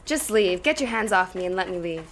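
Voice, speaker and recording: A woman's voice: fairly low pitch, animated, brisk. Lady playing a slangy young hitchhiker gal in an old radio play, brash and wisecracking, noisy background. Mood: scared, defiant. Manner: breezy, plain-spoken, wisecracking. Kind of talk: old-time radio drama acting.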